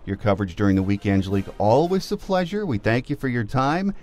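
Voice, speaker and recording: A man's voice: mid-range voice, expressive, fast talker, darkish tone. A man hosting a sports podcast, presenting in a scripted radio-show style.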